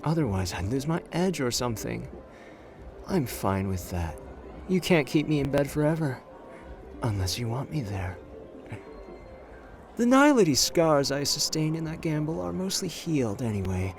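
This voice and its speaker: A man's voice: medium pitch, very animated, music in the background. A man playing a suave, flirtatious, confident gambler in an ASMR roleplay audio drama, teasing and intimate in the way he talks. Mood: smooth, relaxed. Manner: teasing, intimate, drawn-out phrasing with pet names.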